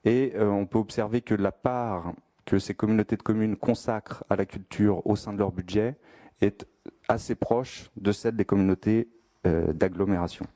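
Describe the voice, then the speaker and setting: A man giving a formal spoken presentation of a statistical study in a measured, careful, explanatory manner. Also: fairly low pitch, steady tone, mellow.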